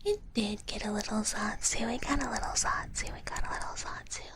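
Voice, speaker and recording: A woman ASMR streamer chatting casually in a soft, breathy whisper, close to the microphone, in a playful, rambling, intimate way. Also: medium pitch, brisk. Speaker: ASMR livestreamer. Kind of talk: ASMR, casual chat with viewers.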